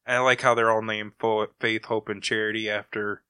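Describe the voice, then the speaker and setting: A man chats casually as a podcast co-host. His voice is low with a bright edge, recorded very dry. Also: level tone, fairly quick, clear, resonant tone, no echo. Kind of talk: casual chat.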